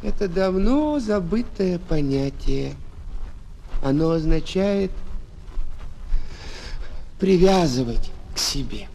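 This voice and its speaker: A man playing a shy, gentle fox who longs for friendship, hesitant and tender, in a children's audio drama. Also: high voice, takes it slowly, creaky, pauses often, slight background noise, exaggerated voice. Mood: tender, hopeful. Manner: hesitant, tender, expressive explaining. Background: music.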